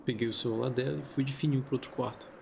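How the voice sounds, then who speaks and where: A man's voice: medium pitch, fairly even intonation, racing, creaky, darkish tone, a little hiss or hum. A man with a Brazilian accent casually telling stories in an informal, chatty way, with conversational asides. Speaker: host of a story-reading video channel. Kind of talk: casual chatty commentary and storytelling.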